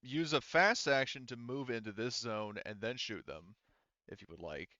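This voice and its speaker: A man's voice: medium pitch, normal speed, sharp tone, no room sound, muffled recording. A man speaking casually as a game master, narrating the action and explaining rules in an informal, mildly profane way.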